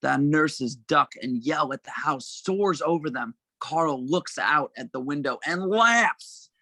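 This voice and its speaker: Bright, creaky male stage-direction reader. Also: a little high, animated, slow pace, no room sound. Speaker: narrator reading stage directions. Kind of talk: scripted narration at an amateur table read.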